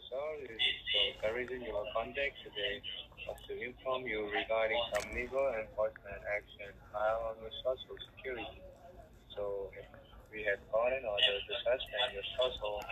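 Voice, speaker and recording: A man working as a scam caller, talking over a phone line from a noisy call center. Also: lowish voice. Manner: defensive, angry, swearing.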